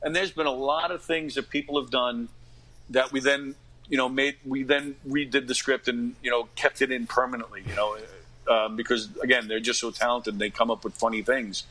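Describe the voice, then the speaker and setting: An American man in his fifties talking casually as an interview guest, in a rambling, self-effacing, animated way. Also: medium pitch, normal speed, low-quality recording. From United States. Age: 50s. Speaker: guest, actor and comedy dinner theater director.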